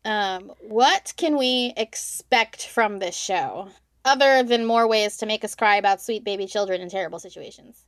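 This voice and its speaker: A woman's voice: mid-range voice, moderate pace, no echo, studio quality. A woman interviewing a guest in casual, bantering chat as the podcast's host. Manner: playful, bantering.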